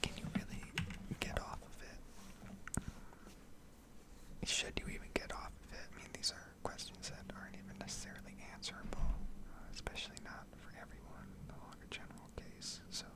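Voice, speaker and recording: A woman whispering an unscripted ASMR ramble in a reflective, hesitant way with trailing pauses. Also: low-quality recording. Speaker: ASMR video creator rambling over gameplay.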